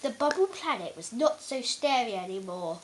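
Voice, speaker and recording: A woman reading a children's bedtime story aloud, haltingly, stumbling over words and correcting herself. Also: a little high, animated, background noise. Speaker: storybook reader.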